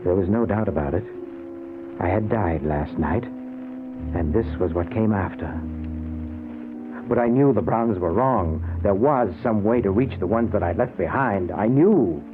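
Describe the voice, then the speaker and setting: A man's voice: fairly low pitch, a little slow, over music, lightly acted. An actor playing a newly dead, anguished man who narrates his afterlife in a theatrical old-time radio drama. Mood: grave, stunned narration. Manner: theatrical, intense, pleading. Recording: noisy, narrow-band old radio recording.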